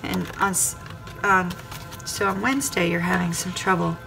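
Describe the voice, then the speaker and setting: A woman's voice: on the low side, fairly even intonation, moderate pace, rough recording. A woman giving a weekly tarot reading, speaking straight to the viewer by star sign and circling back over her phrases. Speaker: tarot reader. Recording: clear background music under voice. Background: music playing under the voice.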